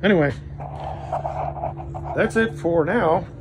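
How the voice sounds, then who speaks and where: A man's voice: high-pitched, slow pace. An older man casually narrating a walkthrough of an aircraft parts warehouse, chatty and enthusiastic, filming on a handheld phone. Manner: chatty, enthusiastic, pointing things out with fillers. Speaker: vlog host. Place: large indoor parts warehouse. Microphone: handheld phone camera. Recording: noisy warehouse ambience.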